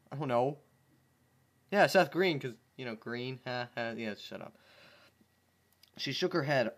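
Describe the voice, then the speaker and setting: A man's voice: mid-range voice, fairly bright tone. A man reading fan fiction aloud at home, breaking off for sarcastic, exasperated commentary. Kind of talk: dramatic reading with comic asides.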